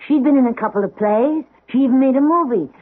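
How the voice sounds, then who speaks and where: A woman's voice: high voice, quick pace, narrow, tinny audio. A woman playing an anguished, devoted middle-aged stage actress in a theatrical, intense 1970s radio drama style. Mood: anguished, searching.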